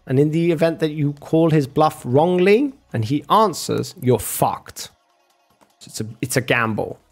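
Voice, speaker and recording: A man in a casual argumentative rant, sarcastic and sweary, calling the listener bro. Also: mid-range voice. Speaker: streamer arguing with a chat viewer.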